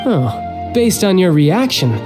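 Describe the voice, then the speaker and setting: A man's voice: sits high, slow, music in the background, heavily acted. An actor playing a bold, casual young male fighter in a video game, blunt and friendly in manner. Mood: casual, frank. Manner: informal, blunt, animated.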